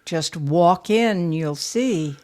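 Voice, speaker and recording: An inquisitive, persistent lady of the house in an audio play. She coaxes and probes at a slow, deliberate pace, in a slightly low register. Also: expressive, darkish tone. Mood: coaxing. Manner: probing, coaxing, unhurried. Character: curious, insistent female portrait sitter with a big house. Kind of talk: audio drama dialogue.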